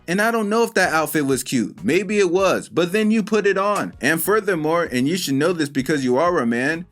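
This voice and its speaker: A man's voice: a little high, a bit bright. A man hosting a reaction video, commenting bluntly and sarcastically on clips.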